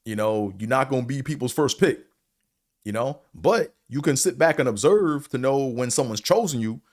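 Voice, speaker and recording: A man with a deep voice and a slight stutter, giving a blunt, profane, rambling opinion monologue as a show host, full of fillers. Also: expressive, moderate pace. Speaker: show host reviewing a series.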